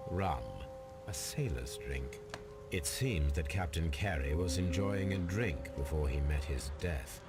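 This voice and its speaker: A low, deep male voice plays a calm gentleman detective in a video game. He reasons aloud with measured precision, musing over each observation. Loud music plays behind him. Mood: observant. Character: calm, analytical gentleman detective examining a murder scene. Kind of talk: video game character voice acting, deliberate deductive monologue.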